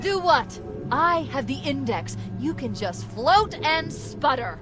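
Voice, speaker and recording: A woman voicing a sharp-tongued artificial intelligence in a video game, sarcastic and emphatic. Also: high-pitched, sing-song, takes it slowly, music in the background, heavily acted. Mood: defiant. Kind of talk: video game cutscene acting.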